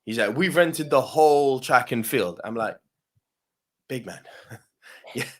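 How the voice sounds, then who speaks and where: Casual male co-host, animated and profane storyteller, mid pitch. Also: measured pace. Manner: swears freely, laughs often, lots of yeah-tags. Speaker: podcast co-host.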